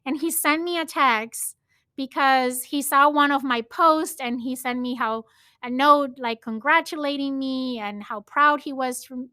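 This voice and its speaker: Slow, bright-voiced Spanish-accented woman podcaster. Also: sits high, no room sound, studio quality. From Spain. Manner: earnest, storytelling with frequent "like" fillers. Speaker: podcast host. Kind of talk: reflective solo podcast talk.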